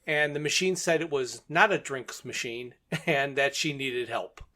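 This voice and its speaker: A man's voice: medium pitch, unhurried, bright, forceful tone. A man co-hosting a podcast, recapping a story step by step in a plain, casual way. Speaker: podcast co-host.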